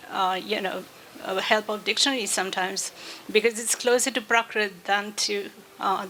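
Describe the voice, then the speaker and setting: Female author on a festival panel, thoughtful, halting delivery, bright tone. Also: medium pitch, slight background noise, low-quality recording. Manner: reflective, many fillers.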